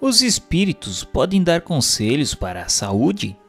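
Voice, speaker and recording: A man's voice: on the high side, huge pitch swings, a little slow, a bit bright. A man reading a script as narrator and questioner, in a formal, declamatory way. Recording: long hall reverb. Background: faint music.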